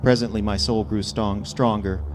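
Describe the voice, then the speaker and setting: A man giving a theatrical, suspenseful poetry recitation with deliberate pauses, recorded live on stage. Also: on the low side, steady tone, over music. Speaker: performer reading poetry.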